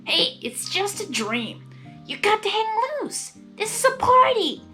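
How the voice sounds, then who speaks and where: A woman's voice: extremely high pitch, sing-song, measured pace, bright, forceful tone, faint music behind, pitched above the performer's own voice, full character voice. A woman voicing a brash, encouraging female cartoon pegasus athlete pony in a bold, casual, upbeat manner. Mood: reassuring.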